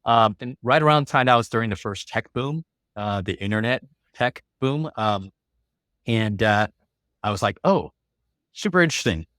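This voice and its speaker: A man's voice: on the low side, lively intonation, moderate pace, a bit bright, no echo, studio quality. A man in his forties with an American accent, telling his life story as a podcast guest, casual and rambling with many fillers. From California.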